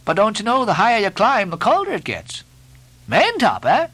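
Guy doing a pushy teasing sailor boss for a storybook, high bright voice, super theatrical, badgering. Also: wildly swooping pitch, normal speed, slightly rough, dry recording, heavily acted. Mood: teasing, commanding. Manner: badgering, theatrical, nautical commands and mock-solemn questions. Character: bossy, mocking male ship's officer at supper.

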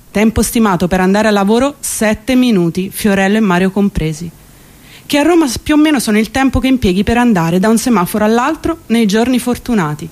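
An actress playing a wry, deliberate small-town woman new to the city, in a comic theatrical monologue. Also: on the high side, a little mellow, lightly acted.

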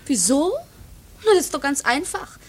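High-voiced scolding young waitress. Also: female voice, big pitch swings, moderate pace. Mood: mocking. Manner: scolding, teasing, theatrical. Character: sharp-tongued, exasperated young waitress, scolding yet coaxing. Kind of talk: German radio play acting.

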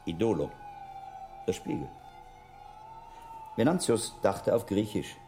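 An actor playing a shrewd, scholarly older monk in a German radio drama, musing aloud like a patient teacher and investigator. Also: male voice, on the low side, very animated, slow pace, warm tone, soft background music, heavily acted. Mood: musing, intrigued.

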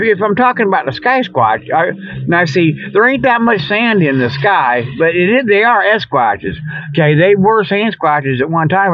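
A man's voice: a little high, dry recording. Folksy man, tinny radio-like sound. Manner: folksy, colloquial speech; jokey, chuckling at own tall tales. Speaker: host of a comedic paranormal talk show. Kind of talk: rambling casual solo monologue.

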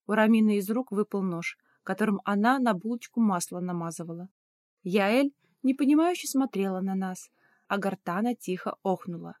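A woman's voice: medium pitch, moderate pace, darkish tone, no echo, clean studio sound. A woman reading scripted first-person fiction as an audiobook narrator.

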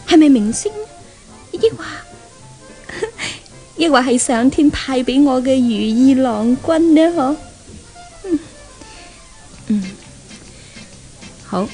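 High-voiced, coquettish spoilt young heiress. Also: female voice, dark tone. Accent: Hong Kong Cantonese. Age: young adult. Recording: old radio recording, music behind.

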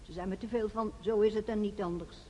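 A woman's voice: mid-range voice, steady tone, brisk, a little mellow, lightly acted. An actress playing a sensible, independent woman neighbour in a radio play, speaking in a blunt, down-to-earth way. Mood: frank, calm. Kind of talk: naturalistic radio drama dialogue. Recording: reverberant radio play voice, faint noise.

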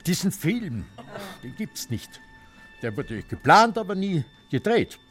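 An actor with an Austrian accent playing a confused, stubborn old film director who speaks haltingly in a radio play. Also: male voice, high voice, big pitch swings, a bit bright, soft background music, heavily acted. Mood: baffled. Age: older. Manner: hesitant, halting, repeats himself. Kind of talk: radio drama acting.

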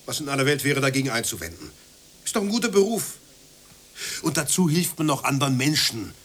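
A man's voice: medium pitch, big pitch swings, brisk, fairly bright tone. An actor playing a poor, prudish father hungry for respect, grumbling and ranting in a radio play. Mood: indignant. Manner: grumbling, ranting, swinging between brooding and heated outburst. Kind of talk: German radio play acting. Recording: noisy 1980s radio play recording.

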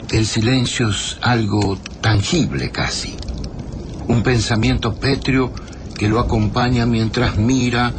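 Man acting reflective witness narrator, unhurried, medium pitch, quiet gravity, radio drama, noisy ambience behind. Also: natural voice. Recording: clear ambient noise behind.

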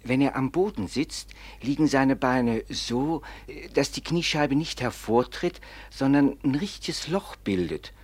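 An actor playing a simple, kindly prison warder in a 19th-century radio play, deferential, earnest and a bit halting. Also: male voice, mid-range voice, expressive, breathy edge, no room sound. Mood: earnest, confiding.

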